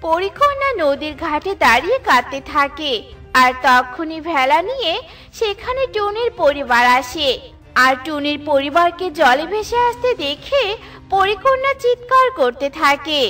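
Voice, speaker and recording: Very high, bright woman storyteller. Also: sing-song, unhurried, soft background music. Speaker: narrator. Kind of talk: scripted children's story narration.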